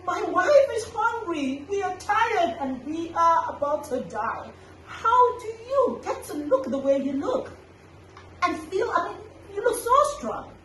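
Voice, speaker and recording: A woman's voice: extremely high pitch, very animated, unhurried, fairly bright tone, pitched above the performer's own voice, full character voice. A woman voicing a desperate, pleading male tortoise with exclamatory delivery in a children's animal story. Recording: echoey, distant mic, background noise.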